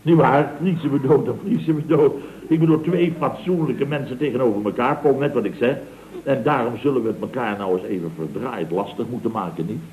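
A man's voice: mid-range voice, a little fast, darkish tone, a little hiss or hum, natural voice. An actor playing a scheming, mock-innocent contractor boss in a 1960s radio comedy, with a Netherlands Dutch accent, stammering out repeated phrases as he insinuates. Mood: blustering pressure. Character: wheedling, scheming male building contractor boss. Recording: muffled old radio recording, roomy sound.

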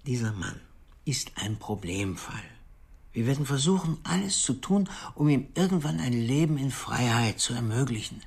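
A man's voice: medium pitch, animated, moderate pace, vocal fry, darkish tone, husky. A man playing a condescending senior psychiatrist in a radio drama, formal and patronising. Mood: dismissive. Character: condescending male senior psychiatrist, sceptical and authoritative.

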